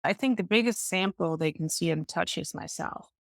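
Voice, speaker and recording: A woman's voice: lowish voice, moderate pace, dry recording, clean studio sound. A middle-aged woman from Denmark, explaining her coaching work earnestly and emphatically as an interview guest. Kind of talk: conversational interview answers.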